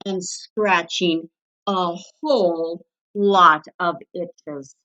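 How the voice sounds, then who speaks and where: A woman narrating a children's story aloud in an expressive read-aloud style, on a slightly muffled recording. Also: fairly low pitch, extremely slow. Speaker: storybook reader.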